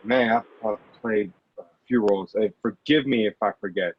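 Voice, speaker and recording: A man being interviewed as an actor, chatting casually and haltingly, often repeating phrases for emphasis. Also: on the low side, breathy edge, no room sound. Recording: dull, muffled lo-fi audio.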